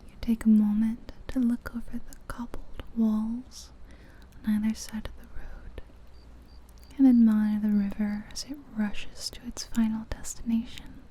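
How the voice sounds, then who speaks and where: A woman softly narrating an ASMR guided visualization in a breathy, whispery, soothing voice, close to the microphone, with gentle pauses. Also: fairly high pitch, flat delivery, slight background noise. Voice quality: breathy, whispery soft-spoken voice. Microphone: close ear-to-ear ASMR microphone.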